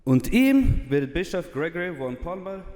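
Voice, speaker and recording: Norwegian man with a lively, animated voice. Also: a little high. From Norway. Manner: careful, deliberate phrasing. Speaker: lay delegate. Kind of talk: formal conference speech. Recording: long reverb in a large hall.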